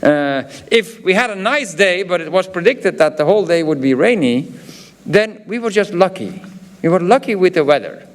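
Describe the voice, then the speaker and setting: A man's voice: high-pitched, lively intonation, normal speed. A man from Belgium with a Flemish accent, a professor giving a graduation ceremony speech, hesitant and full of filler sounds, with chatty asides. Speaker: professor presenting diplomas.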